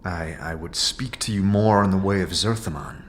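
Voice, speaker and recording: A man playing a hesitant, apologetic wandering adventurer in a fantasy audio drama, with halting, stammered questions. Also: low-pitched, a little hiss or hum, natural voice.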